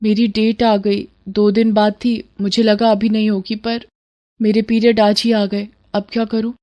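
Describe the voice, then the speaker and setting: A woman's voice: mid-range voice, flat delivery, a little slow, mellow, close to an everyday voice. A woman storyteller voicing an anxious young college girl with a fretful, pleading manner.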